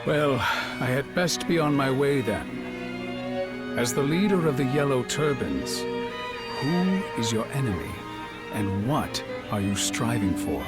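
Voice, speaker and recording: An actor playing a shrewd, courteous middle-aged warlord of ancient China in a video game cutscene, speaking in a measured, genial, probing way. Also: male voice, medium pitch, very animated. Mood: amused, pointed. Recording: loud music behind the voice.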